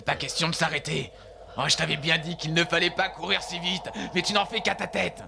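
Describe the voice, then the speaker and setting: A man's voice: a little high, little pitch movement, a little fast, creaky. A man voicing a dutiful wolf-dog hero who urges and scolds earnestly in a French-dubbed cartoon. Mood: scolding. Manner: earnest, urging, admonishing. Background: loud music.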